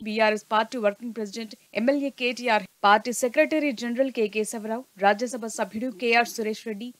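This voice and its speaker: A woman reads a scripted television news report in a steady, formal manner. Her voice sits a little high, and the recording is close and dry. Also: no echo.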